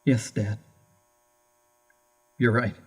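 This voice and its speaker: Man acting as a slow, weary young son. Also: on the low side, level tone, slightly rough, exaggerated voice. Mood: weary, submissive. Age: young adult. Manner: subdued, hesitant, meek. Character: weary, submissive young son of murderous father. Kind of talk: dramatic horror audio drama acting.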